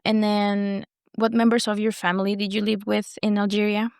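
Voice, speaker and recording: A woman's voice: mid-range voice, completely flat delivery, normal speed, smooth, no echo, clean studio sound. A woman hosting a podcast, introducing and interviewing a guest. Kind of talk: scripted introduction, then interview questions.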